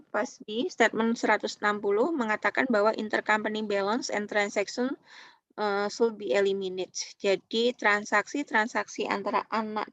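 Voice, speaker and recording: A woman with an Indonesian accent giving an explanatory lecture as a university teacher, speaking haltingly and often checking in with short tag words. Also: mid-range voice, no echo, dull audio. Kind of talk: online accounting lecture, explanatory.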